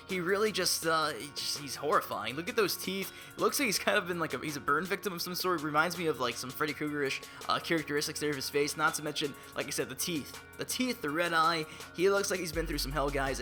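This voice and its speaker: A young man talks over gameplay with excitable, hype-driven energy, a little raspy from a voice still on the mend. His voice sits slightly high and rings bright and forceful. Light music plays behind him. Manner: excitable, hype-driven, laughs and blurts out reactions. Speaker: gaming YouTuber. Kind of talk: casual gaming commentary.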